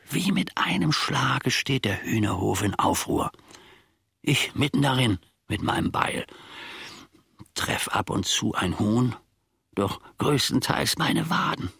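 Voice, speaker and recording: An actor playing a grumbling, self-important old manservant in a German radio play, husky and gravelly, telling his grievances in halting, mock-formal phrases. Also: mid-range voice, a bit bright, no echo, clean studio sound, heavily acted.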